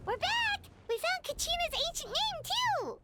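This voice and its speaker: A woman voicing a tiny, excitable, childlike companion creature in a video game, with a squeaky voice. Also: a little slow, exaggerated voice. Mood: excited. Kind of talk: English game voice acting.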